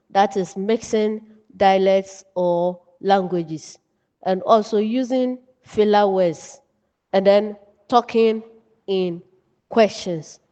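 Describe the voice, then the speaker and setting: A Ghanaian woman delivers a lesson at a slow, deliberate pace. She breaks her teaching into short, emphatic phrases. The recording is dull and muffled, with long reverb around her voice. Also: mid-range voice, warm tone. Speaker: writing teacher. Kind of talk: instructional lecture from notes.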